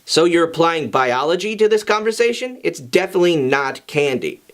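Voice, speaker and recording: A man's voice: a little high, lively intonation, brisk, fairly bright tone. A man voicing a sensible, exasperated teenage boy student in a story, firm and dismissive. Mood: firm, skeptical.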